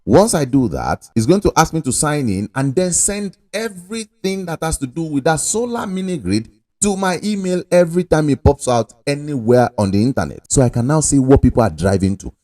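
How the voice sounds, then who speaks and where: A man's voice: a little high, sing-song, brisk, a little mellow, no room sound, clean studio sound. A young adult man giving a casual screen-recording tutorial. He talks straight to the viewer with pushy, salesman-like emphasis. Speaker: online money-making tutorial host.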